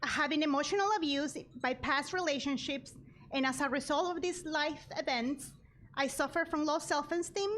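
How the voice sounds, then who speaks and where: A woman, giving an earnest, measured personal speech at a gala. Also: sits high, fairly bright tone, slight background noise. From Ecuador. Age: 30s. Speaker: guest speaker.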